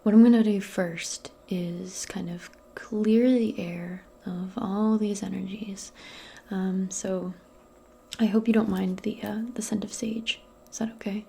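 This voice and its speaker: A woman speaking softly and breathily, often near a whisper, in a gentle, casual ASMR role play aimed closely at the listener. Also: mid-range voice, fairly even intonation, a little slow, creaky, breathy edge. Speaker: ASMR performer role-playing as a modern witch. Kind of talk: soft-spoken ASMR role play, personal attention.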